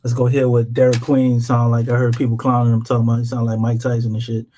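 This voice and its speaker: A man with an American accent and an average-pitched voice hosts a casual live sports show. He talks in loose slang, joking and swearing freely as he goes. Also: completely flat delivery, fast, mellow.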